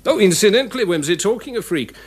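English police detective, earnest and deliberating, highish lively voice, radio play. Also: male voice, fast, slightly rough. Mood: earnest, pondering. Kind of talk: radio drama acting.

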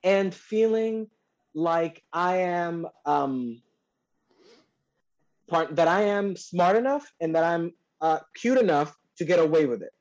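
Low-voiced woman client, dull lo-fi sound. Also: expressive, a little mellow. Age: 30s. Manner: candid, self-reflective, quick agreement. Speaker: coaching client.

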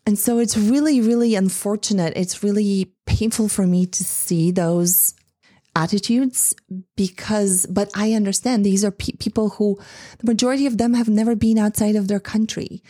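A woman's voice: mid-range voice, steady tone, moderate pace, warm tone, no room sound, studio quality. A woman in her forties gives an impassioned, earnest talk to women in tech, with many "like"s and restarts. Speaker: speaker addressing women in tech. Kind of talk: earnest speech to an audience.

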